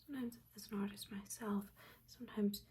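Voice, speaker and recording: A woman voicing a caring, judging witch mentor in a soft, breathy whisper, gently and haltingly giving guidance in an ASMR roleplay. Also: medium pitch, steady tone, a little hiss or hum, lightly acted. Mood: encouraging. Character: older witch mentor, motherly, judging yet caring teacher.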